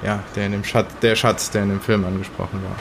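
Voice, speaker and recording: Man acting as reluctant wry heir, lowish voice, dry, halting, conversational radio play, slight background noise. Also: level tone, gravelly, fairly bright tone. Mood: hesitant, dry. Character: dry, reluctant male heir, uneasy about a treasure. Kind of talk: improvised in-character audio play, conversational.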